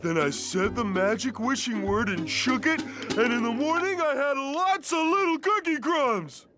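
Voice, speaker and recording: A man's voice: extremely high pitch, lively intonation, normal speed. A man voicing a dim, good-natured, childish starfish in a cartoon video game, goofy and earnest. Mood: proud, simple. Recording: loud music over voice.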